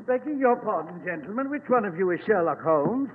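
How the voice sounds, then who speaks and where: An actor playing a fawning, humble old poor man, halting and obsequious, with a husky voice, in 1940s radio style. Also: high voice, expressive, a little hiss or hum. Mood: awed. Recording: tinny old radio recording.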